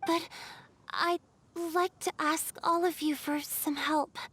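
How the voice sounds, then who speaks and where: Soft, high-voiced wistful water spirit. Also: female voice, steady tone, takes it slowly. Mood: earnest request. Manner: soft, hesitant, earnest. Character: gentle, wistful female water spirit torn by longing. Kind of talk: dramatic video game dialogue.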